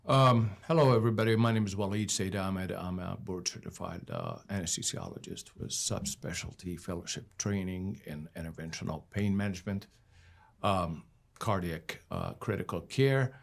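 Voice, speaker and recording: Male physician from Egypt, very deep gravelly voice, formal. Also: expressive. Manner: measured, formal, with fillers. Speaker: physician guest. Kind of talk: self-introduction in interview.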